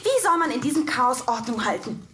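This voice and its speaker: An actress playing a young heroine in a radio drama, frank and a bit uneasy. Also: female voice, sits high, sing-song, a little fast, noisy background. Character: young woman, brave but uneasy heroine. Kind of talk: German radio drama acting.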